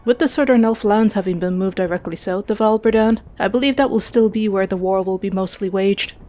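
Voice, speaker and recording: Lady doing a female war council sergeant for a fantasy audiobook, blunt, opinionated, mid pitch. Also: fairly quick, mellow, soft background music, natural voice. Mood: matter-of-fact. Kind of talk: audiobook character acting.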